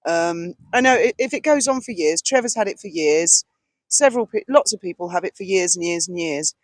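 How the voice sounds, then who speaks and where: A woman's voice: lowish voice, lively intonation, a little fast, dry recording. Outspoken British woman with a carrying voice. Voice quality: loud, carrying voice. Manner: blunt, outspoken. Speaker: vlog co-host. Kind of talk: casual, opinionated chat. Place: outdoors on a boat deck at a marina, breezy evening. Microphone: cheap handheld microphone passed between speakers.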